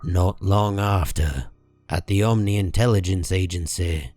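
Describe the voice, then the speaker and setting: A man reading scripted dramatic comic book narration in a measured, solemn manner. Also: low voice, darkish tone. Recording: music behind the voice.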